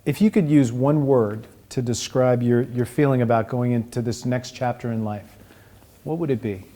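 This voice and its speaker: Mellow-voiced interviewer asking questions. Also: mid-range voice, fairly even intonation, moderate pace.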